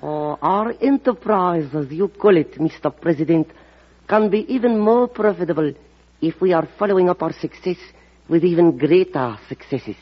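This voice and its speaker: An actor playing a courteous, quietly confident ambassador, speaking carefully in an old radio drama. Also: male voice, on the high side, expressive, moderate pace. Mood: polite, confident. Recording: muffled vintage radio broadcast recording.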